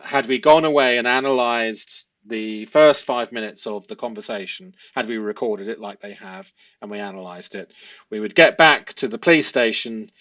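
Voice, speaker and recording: A man giving analytical commentary to viewers as a host, speaking emphatically and deliberately, often with rhetorical tag questions. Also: lowish voice, fairly bright tone, highs cut off. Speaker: host analysing a police interview.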